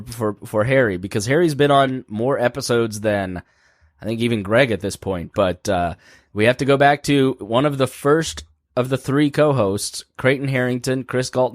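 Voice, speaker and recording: A man hosting a live-stream podcast, hoarse from laryngitis, rambling casually with plenty of fillers. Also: mid-range voice, no echo, clean studio sound. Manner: rambling, jokey, many fillers. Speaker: podcast host.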